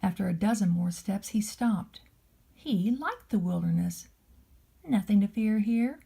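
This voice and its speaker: Mellow woman reading a children's storybook. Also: medium pitch, animated, gravelly, husky. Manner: gentle, deliberate storytelling for a child. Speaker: storybook reader, nanny.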